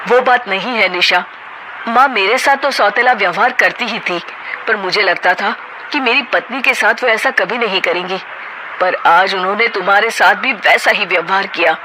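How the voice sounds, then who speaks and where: A woman voicing a gentle, soft-spoken young husband who tries to calm others. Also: medium pitch, normal speed, noisy background, natural voice.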